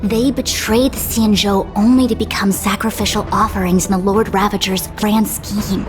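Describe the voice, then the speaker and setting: An actress playing a composed, dutiful woman diviner in a video game, speaking formally and with measured articulation. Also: mid-range voice, steady tone, over music, lightly acted. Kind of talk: scripted video game dialogue.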